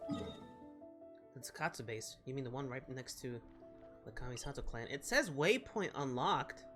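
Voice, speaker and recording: A man's voice: medium pitch, expressive, measured pace, music in the background. A man doing a casual game playthrough, reading dialogue aloud and commenting on himself as he stumbles over words. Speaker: game streamer reading along.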